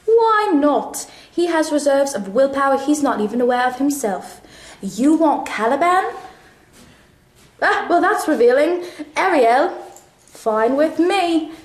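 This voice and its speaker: A woman plays a wry master of ceremonies for a puppet stage. The delivery is slow and theatrical in a high voice, in an echoey room. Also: animated. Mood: wry, inviting. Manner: measured, theatrical. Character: wry master of ceremonies handing out masks to players. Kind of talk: stage puppet-play acting.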